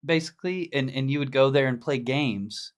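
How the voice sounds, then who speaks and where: A man's voice: mid-range voice, moderate pace. A man hosting a casual gaming podcast, easygoing and laughing often, with plenty of fillers as he asks questions. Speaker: podcast host.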